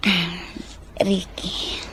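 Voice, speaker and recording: A woman acting a wistful woman in love in a Filipino radio drama, soft and breathy, with an Ilocano accent and a hesitant manner. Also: fairly low pitch, level tone, very slow, slight background noise, full character voice. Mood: tender. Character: earnest, wistful woman in love named Paula.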